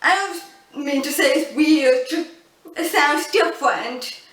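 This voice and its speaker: A woman in her twenties with a deaf accent, chatting slowly to camera and stressing her sounds strongly with an open mouth. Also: high-pitched, animated, a bit bright, recorded from a distance. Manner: slow, earnest, with frequent ums. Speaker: vlogger. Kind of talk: casual storytelling to camera. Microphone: built-in camera mic. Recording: roomy, off-mic camera audio.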